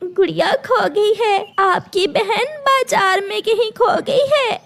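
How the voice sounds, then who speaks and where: A woman's voice: very high voice, slow, creaky, a bit bright, voice pushed up, heavily acted. A woman voicing an anxious, protective mother bird in a cartoon, pleading and tearful with grief. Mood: subdued sorrow.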